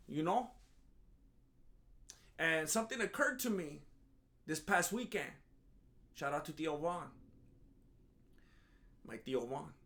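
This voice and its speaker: High-voiced joking commentary vlogger man. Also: a bit bright. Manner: joking, sarcastic, self-mocking; rambling with frequent restarts. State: groggy after a nap. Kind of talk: casual comedic commentary monologue.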